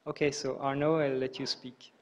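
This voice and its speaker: A man hosting a tech talk, giving an informal, halting introduction of a guest speaker. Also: medium pitch, little pitch movement.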